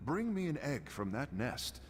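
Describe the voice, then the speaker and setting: An actor voicing a suave, roguish reptilian smuggler merchant in a video game, speaking with flowery, wry courtesy. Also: male voice, mid-range voice, sing-song, unhurried, big-room sound, slight background noise. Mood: wry, bargaining. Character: suave, roguish male Argonian lizard-man smuggler merchant. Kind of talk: video game character dialogue.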